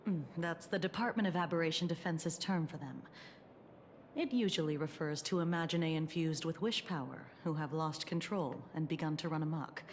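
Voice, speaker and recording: Composed, low-voiced female official. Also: darkish tone. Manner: measured, clinical, formal. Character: composed female defense official administering a test. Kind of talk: scripted game dialogue. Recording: music behind the voice.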